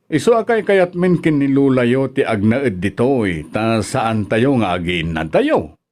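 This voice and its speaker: A man's voice: medium pitch, sing-song, measured pace, a little mellow. A loving, proud father in an Ilocano drama. His mid-range voice swings widely as he talks to his grown child. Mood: warm, concerned. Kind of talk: Ilocano radio drama acting.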